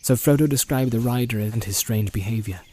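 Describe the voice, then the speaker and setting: A man narrating a fantasy audiobook as a deliberate, atmospheric and suspenseful storyteller. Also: medium pitch, mellow. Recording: home-made, nature soundscape mixed behind. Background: animal and nature sound effects.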